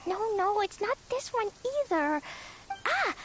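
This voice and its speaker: A woman voicing a cheerful, innocent little girl in a game, with a high, bubbly childlike voice. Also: sing-song, takes it slowly, slight background noise, heavily acted. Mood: searching. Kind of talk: game voice acting. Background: game music.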